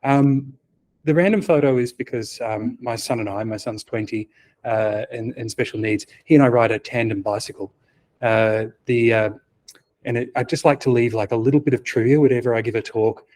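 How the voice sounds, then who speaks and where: A middle-aged man giving a technical conference talk, soft-spoken with a quiet voice. He hesitates often, fills with "um" and restarts, and drops wry asides. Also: mid-range voice, fairly even intonation, normal speed. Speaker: conference speaker.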